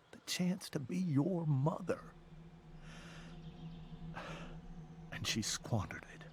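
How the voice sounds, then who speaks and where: An actor playing a troubled middle-aged American father in a video game, confessing a painful past to his daughter in measured, halting phrases. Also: fairly low pitch, gravelly. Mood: bitter regret. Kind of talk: dramatic video game monologue.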